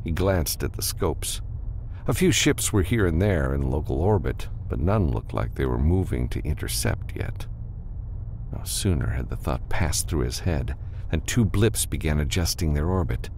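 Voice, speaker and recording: A man's voice: rumbling low, animated, moderate pace, a little mellow, a little hiss or hum. A man reading a science fiction novel as scripted audiobook narration.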